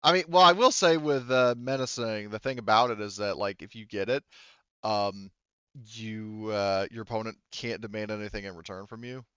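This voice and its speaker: A man running a tabletop roleplaying game, narrating off the cuff in a halting, conversational way. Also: on the low side, bright, forceful tone, clean tone, no echo, highs cut off. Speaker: game master.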